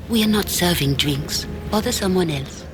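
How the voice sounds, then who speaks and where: A woman's voice: fairly low pitch, moderate pace, noisy background, lightly acted. A woman playing a curt, secretive servant in a fantasy video game. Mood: dismissive.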